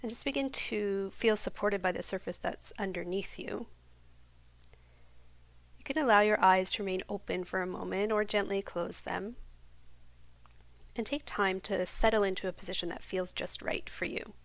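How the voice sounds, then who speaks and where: A woman guiding a yoga nidra meditation in a slow, soft, soothing voice that sometimes drops to a near whisper. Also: medium pitch, no echo. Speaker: meditation guide.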